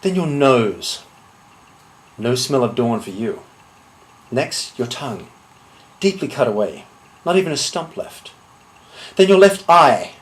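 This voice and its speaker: A man playing a menacing swordsman in a deliberate, contemptuous, theatrical monologue. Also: a little high, lively intonation, close to an everyday voice. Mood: cold menace. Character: cold, menacing swordsman threatening a slow revenge. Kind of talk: dramatic monologue.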